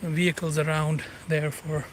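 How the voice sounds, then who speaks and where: A man from Punjab in Pakistan with a Pakistani accent, narrating a walking tour by a river in a halting way with many fillers. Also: a little high, level tone. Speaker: vlogger. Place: outdoors by a river. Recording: loud outdoor noise. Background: loud outdoor ambience with traffic.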